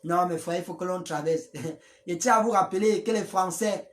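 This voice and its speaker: A voice speaks to followers in a live stream, preaching and rambling with frequent restarts. The pitch sits in an ordinary middle range. It sounds recorded close on a phone or webcam. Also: moderate pace. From Africa.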